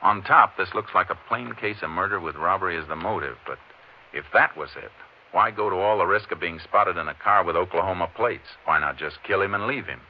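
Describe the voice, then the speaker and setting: Very deep-voiced, methodical Texas Ranger. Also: male voice. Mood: pondering, deliberate. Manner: terse, measured, matter-of-fact. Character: steady, methodical male Texas Ranger investigator. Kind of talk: 1950s radio western detective drama acting. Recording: dull, noisy old 1950s radio recording.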